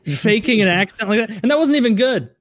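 Blunt man with a higher-pitched voice. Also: sing-song, fast, fairly bright tone, no room sound. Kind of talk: casual banter.

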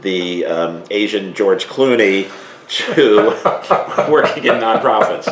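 A man hosting a cooking interview podcast, asking questions in a relaxed, measured way with many ums. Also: on the low side, echoey room, noisy background. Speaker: podcast host.

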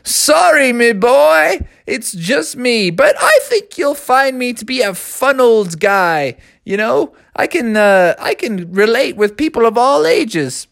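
A storyteller voicing a frail, kindly old male owl who says "me boy" in a high, wavering old-man voice. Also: big pitch swings, slow pace, gravelly, hardly any pauses, a bit bright, heavily acted. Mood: cheerful. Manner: folksy, says "me boy" and "me own".

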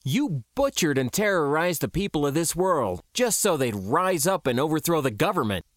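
A man's voice: on the high side, very animated, normal speed, fairly bright tone, no room sound, clean studio sound, voice pushed up. A man voicing a defiant, sarcastic adventurer who speaks with biting emphasis in an audiobook. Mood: bitter, accusing. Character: defiant, sarcastic first-person adventurer under pressure.

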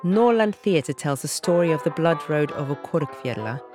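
A narrator giving scripted documentary narration in a measured, sober manner. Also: mid-range voice, expressive. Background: plucked-string music.

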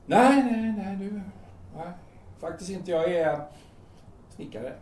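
A man acts out a calm, coaxing carpenter in an audio drama. His voice sits high for a man and moves animatedly, with hesitant, colloquial phrasing. It sounds roomy and distant from the microphone. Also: a little hiss or hum. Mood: calm, placating.

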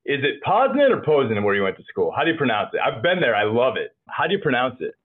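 A man's voice: medium pitch, very animated, quick pace, slightly rough. A man hosting a podcast interview, asking friendly questions with a self-deprecating manner. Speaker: podcast host. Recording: tinny phone-like line.

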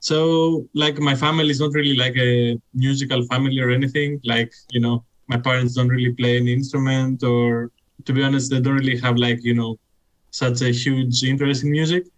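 A man's voice: mid-range voice, little pitch movement, a little fast, poor audio. A young Greek man with Greek as his first language, chatting casually as a guest, his talk full of "like" and "you know". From Greece. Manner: rambling, heavy use of "like" and "you know". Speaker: guest musician.

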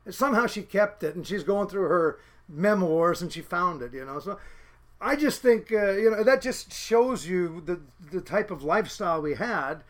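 A middle-aged man with a notably high voice, raised speaking Norwegian, looks back on his childhood in a loose, rambling way. He stops and restarts his sentences often, leaning on fillers as he talks. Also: lively intonation, normal speed, fairly bright tone. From Seattle area, USA. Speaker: fisherman's son recalling childhood. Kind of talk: casual reminiscing.

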